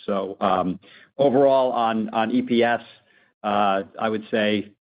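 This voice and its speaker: A man answering analysts on an earnings call as a finance executive, in halting, hesitant phrases. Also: lowish voice, steady tone. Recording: dull conference call audio.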